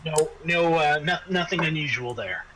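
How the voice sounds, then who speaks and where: A man acting as game master, improvising scene descriptions in halting phrases with frequent repeats. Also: fairly high pitch, expressive. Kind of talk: improvised narration of a tabletop game. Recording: faint background noise.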